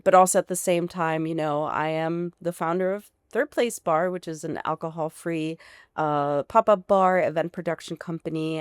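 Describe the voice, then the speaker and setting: A woman's voice: low-pitched, clean tone, dry recording, clean studio sound. A woman with a slight German accent, a podcast guest chatting enthusiastically about herself and her interests. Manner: enthusiastic, chatty, restarts and fillers. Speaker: guest, founder of an alcohol-free bar. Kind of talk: casual interview chat.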